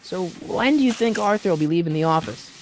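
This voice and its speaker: A man's voice: sits high, animated, fast, slight background noise, poor audio. An actor playing a polite, inquisitive young man in a video game, talking casually and a little hesitantly as he probes. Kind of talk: video game dialogue acting.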